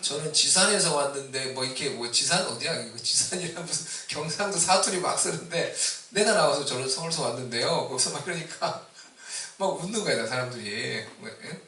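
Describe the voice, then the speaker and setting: Male pastor from Seoul, Easter sermon, ringing bright tone, distant mic in an echoey church hall. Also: lively intonation, brisk. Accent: Seoul Korean with a mix of Busan dialect. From Seoul, Korea. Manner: rises loud and high on exhortations, asks the congregation questions.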